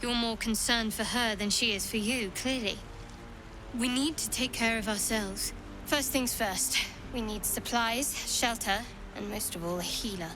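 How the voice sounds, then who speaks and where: Guarded, higher-voiced young cleric woman, acted. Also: unhurried, close to an everyday voice, poor audio. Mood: dry, pragmatic. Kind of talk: video game cutscene dialogue. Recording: music behind.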